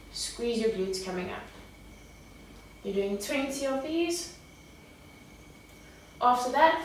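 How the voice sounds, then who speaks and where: A young woman working as a fitness coach, giving step-by-step exercise instruction. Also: on the high side. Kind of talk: warm-up instruction with demonstration. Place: garage home gym. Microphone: camera a few metres away. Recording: echoey garage, distant mic, faint noise.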